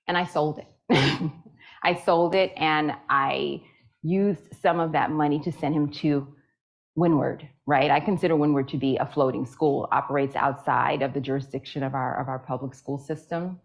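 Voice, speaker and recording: A woman's voice: sits low, normal speed. A woman giving a keynote speech and then answering questions, earnest and emphatic, building her points through repetition. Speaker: keynote speaker.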